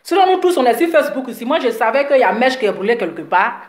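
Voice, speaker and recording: A high-voiced woman rants heatedly to her online audience, circling back to the same points over and over. She sounds a little congested from a cold, and her voice rings in an echoey room. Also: very animated, quick pace. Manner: heated, repetitive, scolding. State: slight cold. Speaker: social media commentator. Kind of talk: live video rant to followers.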